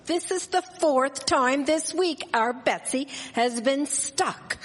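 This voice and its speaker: Radio play: actress as exasperated 1870s farmer's wife, high voice, drawn-out scolding, live theatre echo. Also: female voice. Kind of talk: live radio drama acting. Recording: echoey hall, live stage performance.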